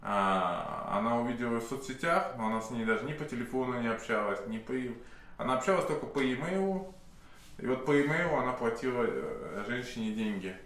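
A man's voice: medium pitch, animated, a little fast, fairly bright tone. Hesitant man with a cold in an echoey room. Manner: rambling, many hesitation sounds and restarts. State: slightly hoarse with a cold. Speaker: self-styled sorcerer telling a client's story. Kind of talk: unscripted monologue to camera. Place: indoor office room with candles. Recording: very echoey room, faint hiss.

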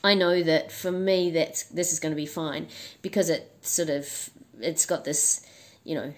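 A woman hosting a crochet tutorial, her voice husky and hoarse from the flu, chatting casually with frequent ums and restarts. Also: deep voice, fairly even intonation, a little slow. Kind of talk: casual instructional talk.

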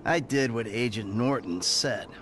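An actor playing a weary, sarcastic middle-aged criminal in a video game, mocking, with an American accent. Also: male voice, medium pitch, unhurried, fairly bright tone, slight background noise, natural voice. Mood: sardonic. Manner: sardonic, mocking.

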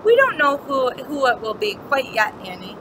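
A patient schoolteacher, a man in a children's storybook, read by a woman, gently reminding pupils of the rules in a high, instructive voice. The recording is clearly noisy. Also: sing-song, moderate pace.

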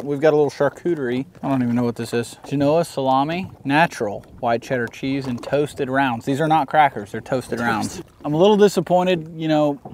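A young man, a professional angler, giving casual running commentary from a bass boat out on a lake. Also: a little mellow, a little hiss or hum. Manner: casual, talks to himself and the camera crew between casts. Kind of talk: running commentary while fishing.

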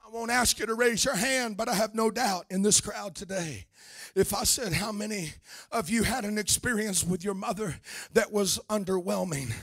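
A middle-aged American man preaches with a very high, gravelly voice. His delivery is forceful and emphatic, stacking repeated phrases in an impassioned church sermon. He speaks close into a handheld microphone, with a bright, hard edge to the sound. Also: moderate pace, no room sound. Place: indoor church meeting room, at a pulpit. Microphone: handheld microphone held close.

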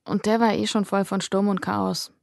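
A woman's voice: medium pitch, little pitch movement, normal speed, creaky, dry recording. Woman narrating fiction in a dry recording. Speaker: audiobook narrator. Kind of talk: scripted fiction narration, present tense.

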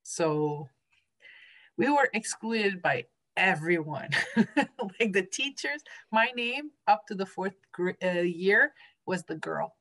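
Slightly low-voiced woman sharing experiences. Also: expressive, slow, a bit bright. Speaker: teacher, former engineering student. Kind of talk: personal sharing in group discussion. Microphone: video call.

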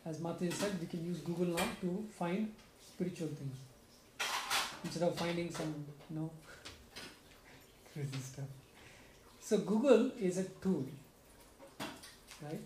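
A man's voice: measured pace, pauses often. A young man sums up a devotional group meeting at home, explaining earnestly and often asking "right?" into a stand mic. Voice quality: soft low speaking voice. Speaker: speaker summing up a satsang. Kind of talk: devotional talk to a small group. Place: home room with shrine, indoors. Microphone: stand mic in front, filmed by far camera. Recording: roomy echo with clear background noise.

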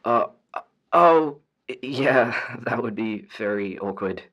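A man's voice: medium pitch, expressive, slow, highs cut off. A man playing an earnest, hesitant caller to an advice show in a naturalistic audio drama, mild in manner. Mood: receptive, sheepish.

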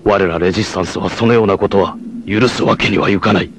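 A man's voice: sits low, fairly even intonation, quick pace, slight background noise. A man voicing a noble, self-sacrificing blind warrior leader in a 1980s anime, with a solemn, formal, declamatory delivery. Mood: earnest, grave. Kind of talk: 1980s anime martial-arts voice acting.

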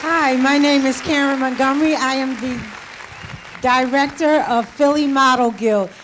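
A woman's voice: high-pitched, fairly even intonation, moderate pace, background noise. A woman presenting a fashion segment at a busy event with a handheld mic. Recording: live event with music and crowd. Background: music and crowd chatter.

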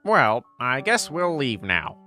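A woman voicing a nosy, playful teenage school friend in a manga dub, teasing and expressive. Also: rumbling low, takes it slowly, soft background music, full character voice. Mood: casual.

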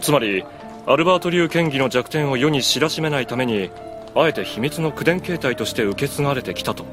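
A man's voice: mid-range voice, expressive, a little fast, over music, natural voice. A man playing a suave, polite military officer in an anime audio drama, wry and unruffled.

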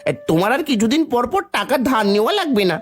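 A woman's voice: a little high, big pitch swings, fast talker, a little hiss or hum. A woman voicing a sly, bullying henchman of a village headman in a children's cartoon, with a taunting, blustering manner. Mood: mocking. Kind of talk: Bengali children's cartoon voice acting.

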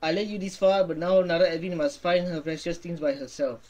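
A man's voice: on the high side, steady tone, unhurried, a little mellow. A young male gaming streamer talks casually as he plays, at times reading dialogue aloud. His voice comes with faint noise and game audio behind it.

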